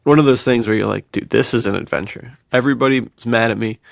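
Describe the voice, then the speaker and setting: A man's voice: mid-range voice, quick pace, gravelly, no room sound, studio quality. An American man chatting casually as a podcast co-host, slangy and bro-ish as he tells personal stories.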